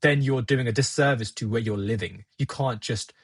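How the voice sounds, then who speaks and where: A young man whose first language is Gujarati, talking through his thoughts in a relaxed podcast chat and often laughing at himself. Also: medium pitch, moderate pace, husky. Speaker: podcast guest. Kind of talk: casual conversation.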